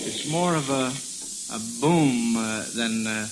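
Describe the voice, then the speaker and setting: A man's voice: medium pitch, slow pace. An American man hosting an old-time radio quiz in a folksy, hesitant, encouraging way. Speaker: quiz show host. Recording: hissy vintage radio broadcast recording.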